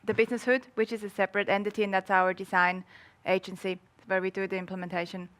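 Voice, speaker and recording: A woman's voice: medium pitch, little pitch movement, fast, fairly bright tone. A woman from Switzerland with a Swiss accent, chatting casually and playfully as an interview guest. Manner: playful, joking. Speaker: interview guest, marketing entrepreneur.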